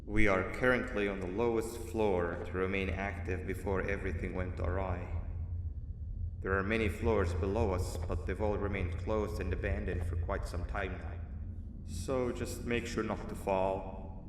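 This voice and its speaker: A man playing a calm, helpful creature who gives measured guidance in a video game. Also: low-pitched, lightly acted. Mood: calm, guiding. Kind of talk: video game character dialogue. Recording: distant, echoey, noisy cavernous ambience.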